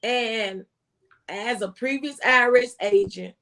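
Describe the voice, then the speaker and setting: A woman's voice: fairly high pitch, takes it slowly, vocal fry, pushed and bright. An American woman speaking as a former tax agent, giving folksy, emphatic advice and repeating phrases for stress. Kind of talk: impassioned conversational tax advice.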